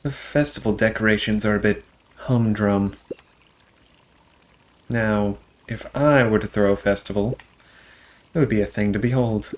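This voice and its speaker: A man's voice: on the low side, steady tone, measured pace, long pauses, slight background noise, natural voice. A man voicing a haughty, bored schemer in a storybook game, with a theatrical manner. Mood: scornful.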